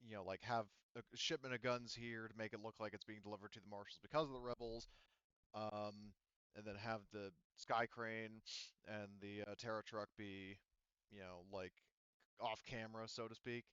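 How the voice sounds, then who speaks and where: Man, lowish smooth voice, game master, halting roundabout explanations, dry and slightly muffled online audio. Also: steady tone, a bit bright, dry recording. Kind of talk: running a tabletop roleplaying game online. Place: remote online voice call.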